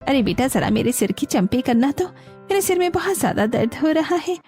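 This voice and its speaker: A woman's voice: high voice, big pitch swings, normal speed, darkish tone, soft background music. A woman voicing a demanding, scolding elderly female houseguest in a cartoon story, bossy and full of complaints. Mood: demanding, complaining.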